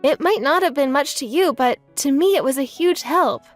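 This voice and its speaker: A woman's voice: high-pitched, expressive, moderate pace, music in the background. A woman playing a kind, grateful young woman, an old school friend, in a manga dub. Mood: tender, grateful.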